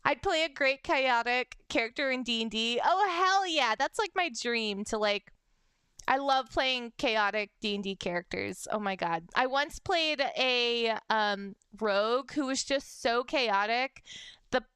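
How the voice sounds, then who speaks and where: A young adult woman with a high-pitched voice, chatting casually on a livestream and reading fan messages, bubbly and giggly. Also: lively intonation, a little slow, sharp tone, smooth, no room sound, clean studio sound. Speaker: VTuber streamer.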